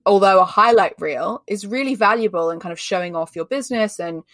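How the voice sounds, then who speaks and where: A woman's voice: medium pitch, fairly quick, no room sound, studio quality. A woman from Australia who speaks with an English accent, a guest expert giving emphatic, chatty interview answers full of "like" fillers. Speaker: guest, personal branding expert. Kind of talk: candid conversational interview answers.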